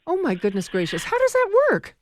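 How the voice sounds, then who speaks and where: A woman's voice: medium pitch, wildly swooping pitch, brisk, a little hiss or hum. A woman hosting a radio interview, asking questions with enthusiastic interjections. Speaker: radio host. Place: radio studio.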